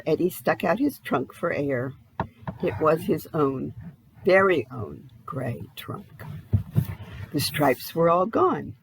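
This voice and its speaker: Lady reading a kids story, super expressive, voice goes up n down, faint noise behind. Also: sits low, poor audio. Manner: dramatic, asks the listener questions. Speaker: storybook reader. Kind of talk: expressive children's story narration.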